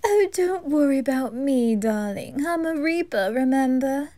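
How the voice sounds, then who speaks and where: A woman's voice: high-pitched, expressive, measured pace, warm tone, dry recording. A woman voicing a flamboyant, doting Reaper with theatrical, gushing affection in an anime fan audio drama. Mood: brisk, affectionate. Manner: theatrical, gushing, calls others darling.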